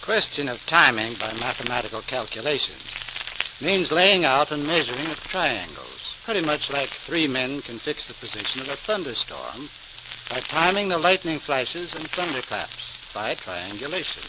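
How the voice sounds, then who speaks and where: A man's voice: medium pitch, sing-song, moderate pace, natural voice. An actor playing a genial, folksy middle-aged father in old-time radio drama. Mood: interested. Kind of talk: 1940s radio drama acting. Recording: noisy, crackling old radio transcription.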